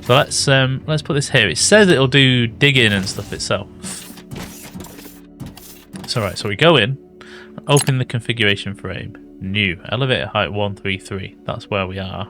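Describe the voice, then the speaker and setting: A British man with a slightly raspy voice, thinking aloud and correcting himself as he casually commentates a building game. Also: lowish voice, moderate pace, sharp tone, soft background music. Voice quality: slightly raspy from early morning. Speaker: gaming YouTuber. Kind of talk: casual let's-play commentary.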